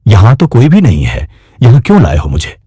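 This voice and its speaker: A man's voice: fairly low pitch, huge pitch swings, brisk, mellow, breathy edge, no room sound, clean studio sound, full character voice. A man voicing a frightened young woman captive in a story, pleading fearfully.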